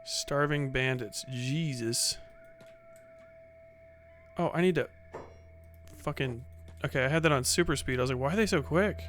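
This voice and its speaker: A man's voice: mid-range voice, unhurried, long pauses, fairly bright tone, faint music behind. A man streaming a game, muttering casual commentary, swearing and reading menus aloud.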